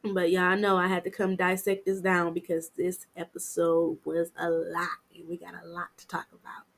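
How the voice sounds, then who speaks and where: A woman's voice: on the low side, completely flat delivery, normal speed, creaky. Chatty woman podcast host with a cold. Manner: chatty, slangy, upbeat address to listeners. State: getting over a cold. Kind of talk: casual TV recap podcast.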